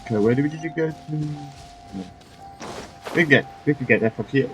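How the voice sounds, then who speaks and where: A man's voice: fairly high pitch, moderate pace. A man giving casual commentary as he plays a video game. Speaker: gamer. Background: game music.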